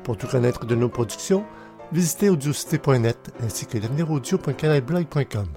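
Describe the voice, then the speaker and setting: A man's voice: medium pitch, expressive, a little fast, dark tone, soft background music. A man reading scripted podcast credits and sign-offs as the show's announcer.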